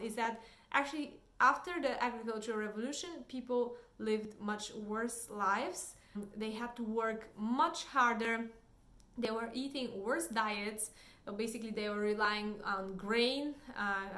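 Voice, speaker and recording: A woman's voice: medium pitch, pushed and bright. A woman with a Bulgarian accent explaining ideas informally as a book reviewer. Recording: roomy, echoing indoor sound.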